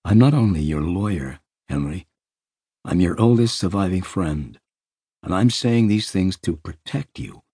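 Man acting a husky, earnest old lawyer. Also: low voice, animated, measured pace, dark tone, no room sound, studio quality, heavily acted. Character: impassive, protective old male lawyer and friend. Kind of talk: audiobook character acting.

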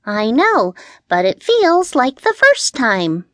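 A woman voicing an excitable, easily rattled little female cat in a children's storybook. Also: on the high side, takes it slowly, no echo, studio quality, pitched above the performer's own voice, exaggerated voice. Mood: excited. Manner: exclaiming, eager.

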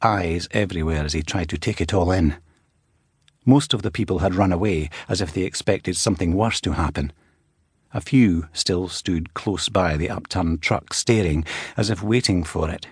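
A man reading a thriller as scripted narration, in a measured, dramatic storytelling way. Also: deep voice, breathy edge, dry recording, clean studio sound. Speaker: audiobook narrator.

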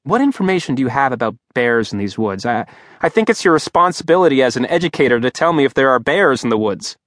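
A man voicing a flustered, sarcastic young man who babbles nervously in a high, strained voice. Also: sing-song, fairly bright tone, no echo, studio quality. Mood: panicky. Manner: rapid indignant babbling, voice rising high.

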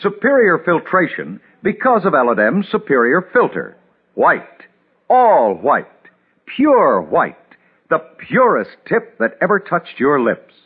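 A man's voice: on the high side, husky. An American man reading a vintage radio commercial as announcer, emphatic and punchy. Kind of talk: 1950s radio commercial read. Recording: tinny, muffled 1950s radio recording.

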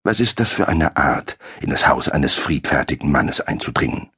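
Ein Mann spielt einen ruhigen, spöttischen Alchemisten. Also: male voice, extremely low pitch, normal speed, breathy edge, full character voice. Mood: calm scornful. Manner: calm, mocking. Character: calm, wise old alchemist, mocking.

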